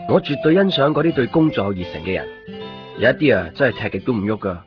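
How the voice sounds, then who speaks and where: Musing low-voiced young man an actor's role. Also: expressive, fast talker, close to an everyday voice. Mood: wry, musing. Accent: Hong Kong Cantonese. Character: introspective, solitary young man narrating his longing. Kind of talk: radio drama monologue and dialogue. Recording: dull radio broadcast with music underneath.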